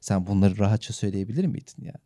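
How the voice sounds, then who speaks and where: Low, very mellow man chatting casually. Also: racing, no room sound, clean studio sound. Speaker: podcast co-host. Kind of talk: casual, joking podcast chat.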